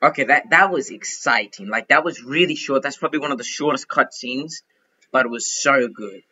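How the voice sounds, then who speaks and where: A young man giving chatty, excitable commentary while playing a game, thinking out loud as he goes. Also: mid-range voice, moderate pace, dull audio, a little hiss or hum. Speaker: gaming youtuber. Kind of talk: casual gameplay commentary.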